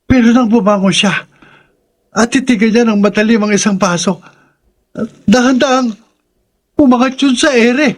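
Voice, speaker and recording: An actor playing an anxious, earnest boy in a radio drama, breathless and halting, with a Filipino accent. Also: very high voice. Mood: awed.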